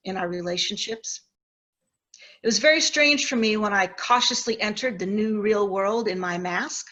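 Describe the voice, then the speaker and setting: A woman's voice: mid-range voice, a bit bright. A woman guest preacher giving a sermon told through personal anecdotes.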